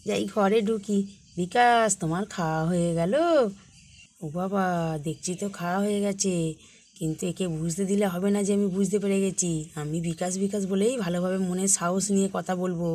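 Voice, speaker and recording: A woman voicing a protective, bossy village mother in a children's cartoon, fussing and hushing in colloquial talk. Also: medium pitch, fairly even intonation, fairly quick, close to an everyday voice. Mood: wary, pretending calm.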